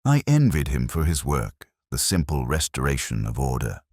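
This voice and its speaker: A man playing a weary, melancholy detective who narrates his case in a measured, solemn, reflective way, in the manner of a scripted radio drama. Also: extremely low pitch, huge pitch swings, a little mellow, slightly rough, no echo, clean studio sound, heavily acted. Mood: weary, resigned.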